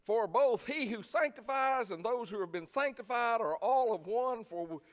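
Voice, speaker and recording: A man preaching a sermon in a folksy, plainspoken, emphatic way, with a loud voice. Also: extremely high pitch, lively intonation, normal speed. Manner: folksy, plainspoken, emphatic, swinging from quiet asides to loud exhortation. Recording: reverberant hall.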